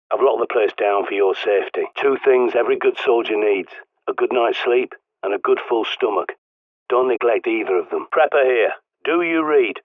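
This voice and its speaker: Over a narrow, crackly-radio style filter comes a dry, low-pitched English man, a paranoid doomsday survivalist from a video game. He is blunt and sweary, barking instructions like a bossy mentor to a raw recruit. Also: no echo. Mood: brisk. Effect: radio transmission filter. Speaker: game character voice actor. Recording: muffled narrow-band radio sound.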